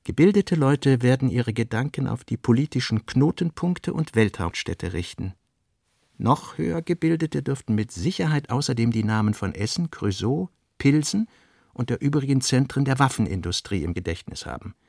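A man reading essayistic literary prose for radio with a measured, deliberate delivery. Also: mid-range voice, animated, gravelly, darkish tone, dry recording, clean studio sound. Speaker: narrator.

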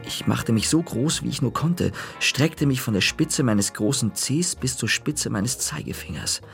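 A man narrating a children's story in the first person, calm, dropping to a whisper in quiet moments. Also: mid-range voice, unhurried, gravelly, soft background music.

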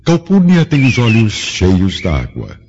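A man's voice: fairly low pitch, wildly swooping pitch, slow pace, noisy background. A man narrating a scripted radio drama in a storyteller's role. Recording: dull lo-fi recording.

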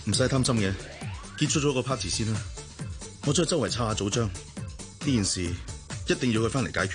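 A man's voice: medium pitch, brisk, music in the background, natural voice. A man playing a protective, responsible cousin in a radio drama, urgent and easily indignant. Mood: reassuring. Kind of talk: Cantonese radio drama acting.

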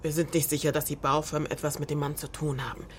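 A woman's voice: sits low, little pitch movement, fast talker, slight background noise, lightly acted. An actress playing a pragmatic woman helping a fugitive friend, in naturalistic radio drama dialogue. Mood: matter-of-fact.

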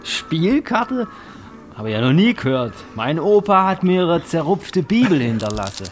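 An actor playing a tired, plain-spoken army sergeant with a halting, polite manner in a radio drama. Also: male voice, on the high side, sing-song. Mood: curious. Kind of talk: naturalistic radio drama acting. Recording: faint music behind the voice.

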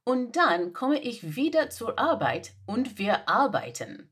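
A woman's voice: fairly high pitch, sing-song, takes it slowly, a bit bright, husky, full character voice. A woman voicing a flustered young female assistant who answers in baffled, exasperated questions. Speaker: podfic reader. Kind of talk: German audio drama reading.

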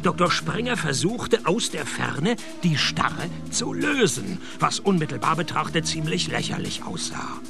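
Gravelly, sardonic Swiss private detective. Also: male voice, fairly high pitch, unhurried, few pauses, music in the background, exaggerated voice. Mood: wry narrating. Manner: dry, sardonic, deadpan. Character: cynical male private detective narrating his own case. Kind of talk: radio play detective narration and dialogue.

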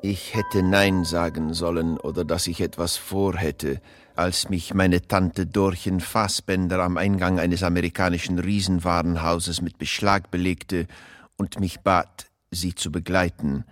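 An actor playing a put-upon nephew who narrates his misfortune in a literary radio play, measured and wry. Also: low-pitched, flat delivery. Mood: wry regret. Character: put-upon nephew recounting a shopping disaster. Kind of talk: literary first-person radio drama narration.

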